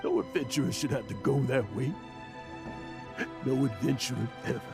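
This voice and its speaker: An actor playing a weary, guilt-ridden old male adventurer in a video game, with an emotional, halting manner. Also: medium pitch, gravelly, lightly acted. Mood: despairing. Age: older. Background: game music.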